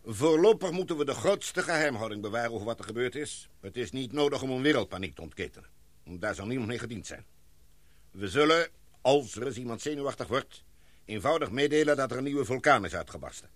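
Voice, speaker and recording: A man's voice: mid-range voice, lively intonation, dry recording, lightly acted. An actor playing a cautious, authoritative male expedition leader in a radio drama, speaking formally and. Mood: formal, commanding. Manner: formal, measured, commanding. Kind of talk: Dutch radio drama acting.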